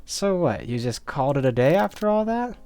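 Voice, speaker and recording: A man's voice: on the high side, big pitch swings, brisk, darkish tone, clear, resonant tone, faint music behind, voice pushed up. A man voicing a brash, teasing detective's partner who scolds and cares, in a visual novel. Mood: exasperated, mocking.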